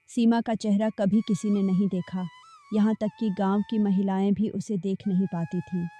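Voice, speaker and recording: Mellow woman narrating a spooky story. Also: mid-range voice. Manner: steady, measured storytelling. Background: faint background music.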